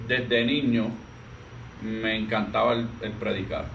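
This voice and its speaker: Puerto Rican male pastor, 40s, gentle hesitant delivery, distant mic, light background noise. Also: medium pitch. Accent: Puerto Rican Spanish. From Puerto Rico. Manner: soft-spoken, halting, frequent restarts. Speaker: pastor, interview guest. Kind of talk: relaxed conversational interview.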